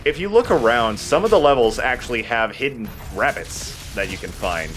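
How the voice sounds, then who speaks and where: A man's voice: mid-range voice, expressive, moderate pace, a bit bright, a little hiss or hum. A man hosting a let's play, giving casual commentary on a video game.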